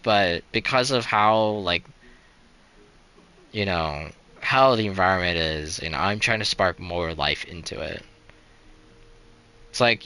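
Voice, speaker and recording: A man's voice: lowish voice, pushed and bright, clean tone. A young man streaming a game live, chatting casually in a rambling, resigned way, full of "like" and "you know" and restarted sentences.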